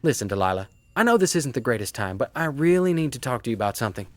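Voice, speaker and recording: An actor playing a serious, determined man in an audio soap opera, measured and earnest. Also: medium pitch, big pitch swings, no echo, close to an everyday voice. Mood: grave. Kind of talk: soap-opera radio acting.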